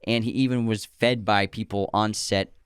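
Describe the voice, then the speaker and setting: Young male podcast co-host, smooth clear voice, dry close sound, chatty trivia reader. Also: fairly low pitch, fairly even intonation, normal speed, no echo. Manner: chatty, reads out film trivia. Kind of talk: casual film chat.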